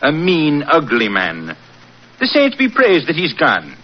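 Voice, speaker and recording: Plain-spoken Irish serving man, slightly high lilting voice, 1940s radio acting, muffled broadcast. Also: huge pitch swings, unhurried, full character voice. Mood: blunt, unbothered. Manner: lilting, blunt. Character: cheeky, plain-spoken singing Irish waiter.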